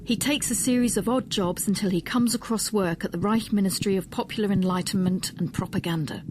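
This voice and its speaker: A woman's voice: mid-range voice, brisk, noisy background. A woman presenting a radio history documentary, moving between scripted narration and interview questions.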